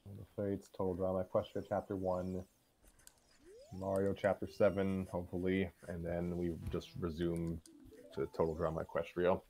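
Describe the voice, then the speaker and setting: A man in his twenties chatting casually as a show host giving an update, halting and wry, often restarting his sentences. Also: low voice, monotone, vocal fry, warm tone, low-quality recording. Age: 20s. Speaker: host of a story-reading voice show. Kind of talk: casual chat, project update.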